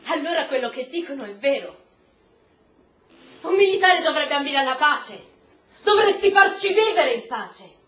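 A woman's voice: high-pitched, big pitch swings, moderate pace, pauses often, fairly bright tone. An actress playing a principled, defiant wife and mother in a stage-style drama, pleading and accusing with emphasis. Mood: indignant. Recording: roomy echo, faint noise.

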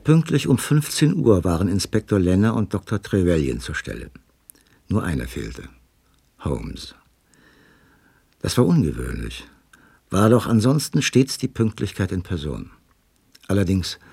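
A detective's companion telling the story in a radio drama. His voice is low and gravelly with plenty of melodic movement. It is husky and scripted in its phrasing. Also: sing-song, moderate pace, pauses often, a little mellow, no echo, exaggerated voice. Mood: wry, measured. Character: male storyteller, detective's loyal companion looking back. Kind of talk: scripted radio play narration.

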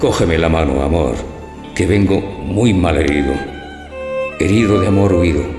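A low-voiced, lovestruck older husband. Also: male voice, over music. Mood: sorrowful. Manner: tender, poetic, deliberate delivery. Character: gentle, lovestruck older husband, naive and melancholy. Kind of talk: theatrical radio drama acting.

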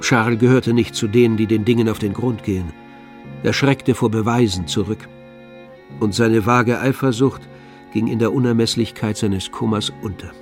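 A man's voice: lowish voice, steady tone, moderate pace. A man reading scripted literary narration for a radio play. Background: faint string music.

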